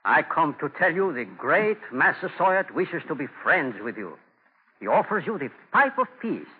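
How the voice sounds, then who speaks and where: An actor playing a dignified, compassionate Native man, speaking earnestly in measured, formal words, in 1940s radio drama style. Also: a little high, big pitch swings, breathy edge. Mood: earnest, friendly. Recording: tinny, noisy 1940 radio recording.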